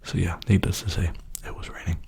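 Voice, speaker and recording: Young man, breathy whisper, mellow and dark, even intonation, soothing ASMR ramble. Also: low voice, fast talker. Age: young adult. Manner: hushed, soothing. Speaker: ASMR video maker. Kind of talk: softly spoken ASMR rambling.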